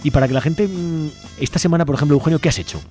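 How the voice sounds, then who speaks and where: A man's voice: fairly high pitch, racing, darkish tone. Man, radio host, informal improvised interview, chatty, faint music behind.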